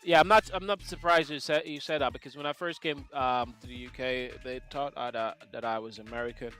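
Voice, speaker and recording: Male live-stream host from Jamaica, mid pitch, playful chatty delivery with a light musical bed underneath. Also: steady tone, a little fast, fairly bright tone, clean tone, faint music behind. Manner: joking, chatty, reads out chat questions. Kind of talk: casual live-stream chat with viewers. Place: home setup, UK.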